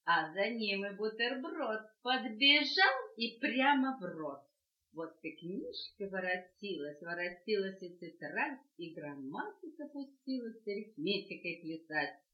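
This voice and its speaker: A woman reading a children's poem aloud in a rhythmic, lively sing-song, recorded at home on a cassette tape. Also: mid-range voice, unhurried, echoey room, highs cut off. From Russia. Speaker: reader of children's poems.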